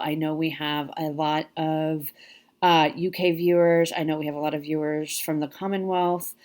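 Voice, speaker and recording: A woman's voice: sits low, darkish tone. A middle-aged woman with a congested voice, chatting casually to her cross stitch channel with many ums and restarts. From Pacific Northwest US. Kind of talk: casual chat to camera.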